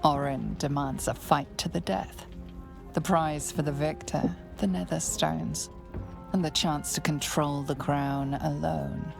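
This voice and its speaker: A woman narrating a fantasy game in a grave, measured storybook manner. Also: low-pitched, steady tone, vocal fry, music in the background. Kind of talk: scripted narration.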